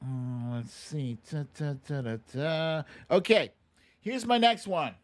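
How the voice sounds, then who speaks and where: Male livestream host, higher-pitched and clean-toned, informal and playful, talking directly to the audience. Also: sing-song, slow pace. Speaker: livestream host running a song-mashup guessing game. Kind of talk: casual livestream chat.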